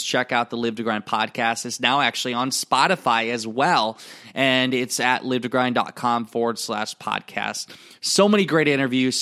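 This is a young adult man with an Iowa accent, hosting a solo podcast. His pitch sits in the middle, and he gets excited and speeds up as he talks. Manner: excitable, speaks fast when excited. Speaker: podcast host. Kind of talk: reflective solo podcast monologue.

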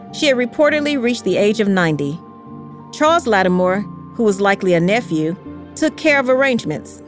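Woman narrating a scripted history story. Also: medium pitch. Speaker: podcast narrator.

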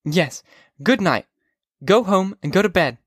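A man reading aloud, voicing a sharp young woman who curtly scolds a drunk caller. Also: high voice, lively intonation, measured pace, breathy edge, no echo, studio quality, voice pushed up, full character voice. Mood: impatient. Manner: curt, impatient.

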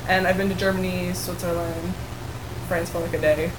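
A woman's voice: on the low side, little pitch movement, normal speed. A young woman, a manual osteopath and Polish native speaker, chatting casually in an interview, friendly and a bit hesitant, with restarts. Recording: noisy room ambience.

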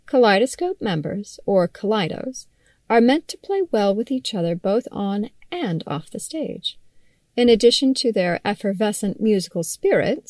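A woman narrates a written feature article in a mid-range voice. Her phrasing is measured and articulate, with a wry touch and pointed emphasis on key words. The recording is very dry, with almost no room sound. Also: medium pitch, darkish tone, dry recording. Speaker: magazine writer reading own article. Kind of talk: scripted article narration, read aloud.

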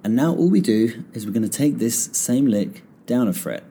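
A man hosting a guitar lesson podcast, calmly explaining finger positions step by step, his voice a little croaky. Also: medium pitch, moderate pace. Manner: relaxed, step-by-step instruction. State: slightly croaky after singing. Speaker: guitar teacher and podcast host. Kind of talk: casual spoken guitar lesson. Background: guitar playing between phrases.

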